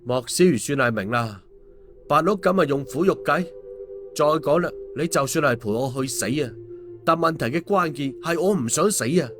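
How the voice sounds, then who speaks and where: Higher-pitched male storyteller over music. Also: animated, normal speed. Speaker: storyteller narrator. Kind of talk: scripted audiobook narration with character dialogue.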